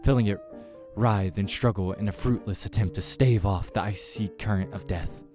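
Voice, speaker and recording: A man's voice: lowish voice, moderate pace, over music, natural voice. A man voicing a menacing, predatory female eldritch creature from a visual novel, with commanding, formal, alien phrasing. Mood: imperious menace. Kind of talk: acted visual novel dialogue.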